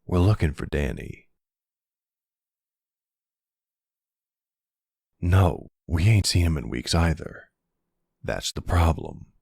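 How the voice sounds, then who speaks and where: A middle-aged mafia boss, played by a man, speaks in an extremely deep, creaky voice with lively swings of intonation. He is suave and dangerous, mixing tough slang with courteous, flirtatious endearments. The delivery is intimate ASMR roleplay. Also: unhurried, no echo, studio quality, exaggerated voice. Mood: menacing, probing. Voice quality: gravelly. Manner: smooth-talking, folksy tough-guy drawl of endearments and slang.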